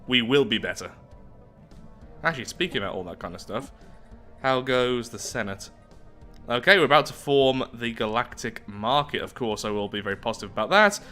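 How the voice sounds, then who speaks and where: A man hosts a roleplay playthrough of a strategy game in a bright, forward voice at a middling pitch. He is chatty and reasons aloud as he plays. Faint music runs behind his commentary. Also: expressive, fairly quick. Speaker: gaming YouTuber host.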